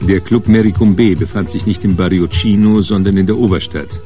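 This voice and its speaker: Deep, mellow male narrator. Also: fairly even intonation, a little fast, soft background music. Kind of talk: scripted crime radio play narration.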